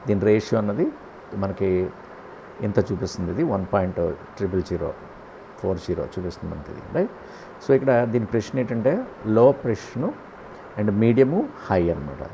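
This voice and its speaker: A man with a Telugu accent giving a step-by-step software tutorial, posing questions and answering them himself with frequent check-in tags. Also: fairly low pitch, very animated, normal speed, long pauses, a little hiss or hum, poor audio. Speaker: audio editing tutor.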